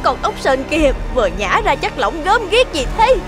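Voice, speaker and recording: A woman's voice: high-pitched, big pitch swings, moderate pace. A woman voicing a proud villainous centipede in a children's cartoon, exclaiming melodramatically. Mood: anguished, shocked. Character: villainous centipede, proud, wailing over its broken legs. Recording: music behind the voice.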